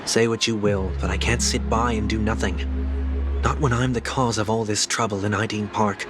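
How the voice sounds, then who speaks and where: A man's voice: on the low side, fairly even intonation, moderate pace, music in the background, natural voice. An actor playing an earnest, composed young man in a fantasy video game, speaking formally and politely. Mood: resolute. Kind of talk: scripted video game voice acting.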